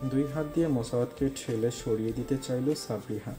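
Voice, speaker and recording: A man's voice: mid-range voice, brisk, over music. A man narrating a scripted romantic story as its storyteller.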